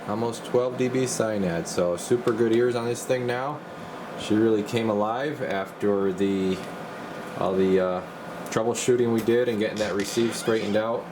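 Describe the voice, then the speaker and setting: A man giving a casual, folksy, rambling walkthrough as a repair technician. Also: medium pitch. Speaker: radio repair technician and video host. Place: indoor repair workbench. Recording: noisy room with clear background hum.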